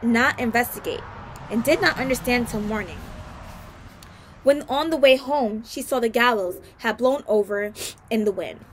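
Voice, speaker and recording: A woman's voice: a little high, moderate pace, slight background noise. A woman reading a story aloud as a guest narrator, careful, with occasional stumbles and self-corrections.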